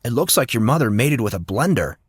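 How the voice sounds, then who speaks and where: A man's voice: mid-range voice, sing-song, fast talker, husky, no echo, studio quality. A man voicing a brash, teasing teenage boy in an audio drama, sarcastic and full of slangy banter. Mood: cocky teasing.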